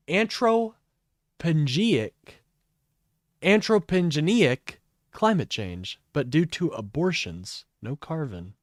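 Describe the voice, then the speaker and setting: A man streaming live, reacting to a clip with blunt, profane, sarcastic commentary and a fairly high voice. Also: dry recording, clean studio sound. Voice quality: says his voice is high-pitched. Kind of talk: casual political commentary.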